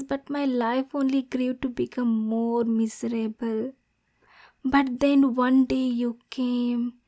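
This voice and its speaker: A woman playing a lonely, lovesick demon girl in an intimate ASMR roleplay, softly spoken and breathy, nervous yet possessive. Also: a little high. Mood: wounded, sad.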